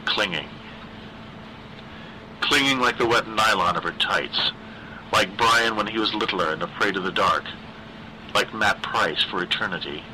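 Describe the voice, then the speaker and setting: Male horror audiobook reader. Slightly low, very bright, tense tone, deliberate dramatic pacing, faint background noise. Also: rough recording. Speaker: story narrator, reader. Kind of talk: scripted narration of a dark horror story.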